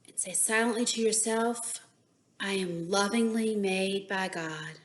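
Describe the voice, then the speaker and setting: A woman narrating a bedtime story and guided meditation in a soothing, measured manner with drawn-out phrases. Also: medium pitch.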